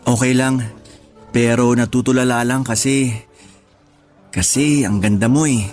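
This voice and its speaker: A man's voice: medium pitch, fairly even intonation, a little slow, darkish tone, soft background music, close to an everyday voice. A Filipino man playing a shy, smitten young man on a first date in a radio drama, teasing and casual. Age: young adult.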